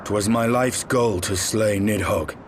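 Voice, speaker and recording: Deep-voiced brooding knight. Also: male voice, takes it slowly, soft background music. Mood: weary, regretful. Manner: grim, restrained, formal. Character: brooding, battle-weary dragon-slaying knight. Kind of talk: dramatic fantasy game acting. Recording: clear background ambient noise.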